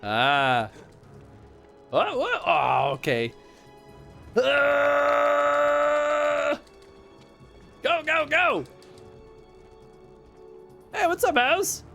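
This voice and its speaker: A man streaming a horror game live, chatting casually and yelling excitedly at the action. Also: very high voice, drawn-out words, gravelly, pauses often, faint music behind. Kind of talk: live gameplay commentary.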